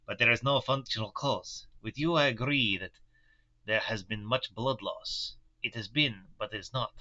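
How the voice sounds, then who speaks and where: A kindly, grave old professor with a thick German-style accent, as a man puts him on, choosing his words with quaint, broken formality. The voice comes through very bright and sharp. The recording itself sounds dull and lo-fi. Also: mid-range voice. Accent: put-on German-style accent. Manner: halting, broken formal phrasing.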